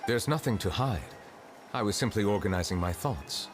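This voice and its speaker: Actor as grave immortal dragon judge: very low, formal, measured, music behind. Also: male voice, lively intonation, lightly acted. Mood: pensive. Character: ancient dragon in human form, solemn male chief justice. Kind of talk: video game dialogue. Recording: game dialogue with music behind.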